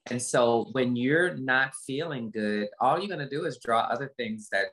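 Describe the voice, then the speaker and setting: A woman with a low voice talks reflectively and emphatically as an interview guest on a video call, repeating phrases for effect. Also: moderate pace, fairly bright tone. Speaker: interview guest, motivational speaker. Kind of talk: reflective personal talk in an interview.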